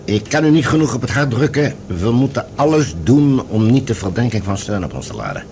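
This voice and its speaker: An actor playing a cautious, formal general and colonial governor in a science fiction radio drama, speaking with measured authority. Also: male voice, mid-range voice, very animated, darkish tone, slight background noise. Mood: cautious, instructing. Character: commanding, cautious male general and newly appointed colonial governor.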